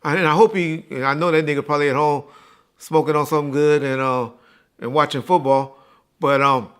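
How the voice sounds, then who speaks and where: A man's voice: a little high, fairly even intonation, a little fast, smooth. An American man hosting a podcast, talking casually in an informal, slangy, emphatic way as he recalls things. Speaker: podcast host. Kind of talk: casual recollection and chat.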